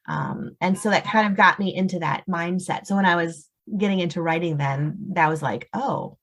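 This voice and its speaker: Female author guest, American, slightly low voice. Conversational storyteller who drops in acted-out asides. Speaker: guest, suspense novelist.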